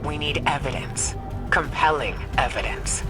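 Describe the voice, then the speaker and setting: Stern skeptical officer, loud music. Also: female voice, sits low. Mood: stern, doubtful. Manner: clipped, deliberate. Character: stern, skeptical senior officer demanding hard evidence. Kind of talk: video game cutscene acting.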